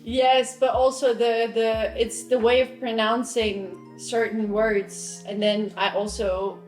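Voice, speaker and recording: Giggly young woman chatting, slightly high voice. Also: little pitch movement, takes it slowly, reverberant, soft background music. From Europe. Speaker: vlogger. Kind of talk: casual chat.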